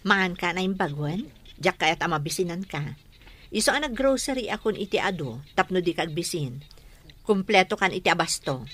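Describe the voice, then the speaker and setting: Devoted hardworking big sister in ilocano radio drama, really bright forward voice. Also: female voice, lowish voice, expressive, normal speed. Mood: caring. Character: hardworking elder sister, protective, devoted to her sibling.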